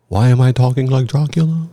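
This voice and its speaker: A man's voice: medium pitch, warm tone, slight background noise. A man hosting a podcast in casual chat, playful and jokey. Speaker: podcast host.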